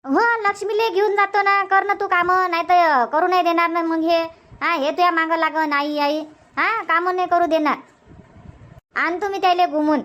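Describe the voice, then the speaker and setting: A woman's voice: very high-pitched, fairly even intonation, moderate pace, a bit bright. A woman voicing an older, indulgent but bossy village grandmother in a cartoon comedy, repetitive, with a Varhadi Marathi accent.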